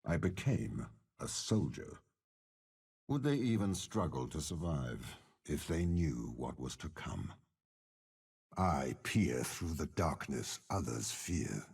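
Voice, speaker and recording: Very deep, gravelly old warlord general. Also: male voice, sing-song, darkish tone, exaggerated voice. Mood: cold, scheming. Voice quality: gravelly, husky. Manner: commanding, measured, disdainful. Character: cold, cunning old male warlord general and schemer. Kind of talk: dramatic game character voice lines.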